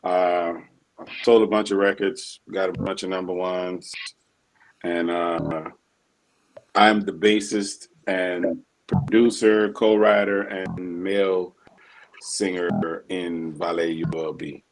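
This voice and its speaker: A man who is a music producer and bassist, telling stories in a laid-back interview. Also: little pitch movement, takes it slowly, gravelly, poor audio. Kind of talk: relaxed interview talk.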